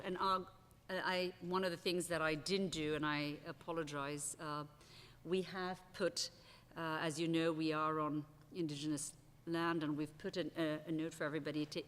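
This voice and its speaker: A woman with a low voice introduces speakers at an academic panel, talking loosely and often restarting her sentences. She speaks into a table microphone on a big auditorium stage, and the sound carries a long hall reverb. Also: a bit bright. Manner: conversational, with frequent restarts and "uh"s. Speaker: session chair. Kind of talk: panel moderation at an academic conference.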